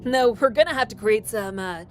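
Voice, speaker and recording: A woman's voice: on the high side, normal speed, close to an everyday voice. A woman voicing an impish demon boy in an audio drama, teasing and sly, with a theatrical drawl. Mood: scheming.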